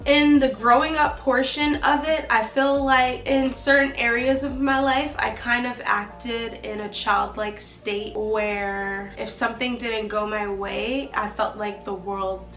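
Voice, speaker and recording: A woman's voice: a little high, measured pace, few pauses, far from the mic. Nasal young woman vlogging in an echoey room. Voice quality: nasal from allergies. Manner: chatty, animated, acts out her own past reactions. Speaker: beauty vlogger. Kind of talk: casual chat to camera. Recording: roomy echo, slightly off-mic, faint music.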